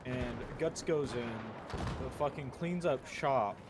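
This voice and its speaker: Slow-talking man rambling through a story. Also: mid-range voice, heavy background noise. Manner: halting, full of fillers, restarts and swearing. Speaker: storyteller summarizing a manga. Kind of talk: casual rambling story recap. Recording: loud video game gunfire behind voice. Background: game gunfire and explosions.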